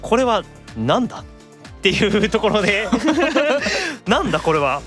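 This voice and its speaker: A man hosts a chatty radio show. His voice is high and very animated, and music plays clearly behind him. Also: measured pace. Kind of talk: lively radio chat.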